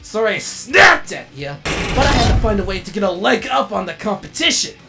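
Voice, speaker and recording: A man voicing an excitable, insecure robot jet in an animated comic dub, dramatic and hesitant when unsure. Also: sits high, a little fast, creaky, loud music behind the voice, exaggerated voice. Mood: theatrical, boastful.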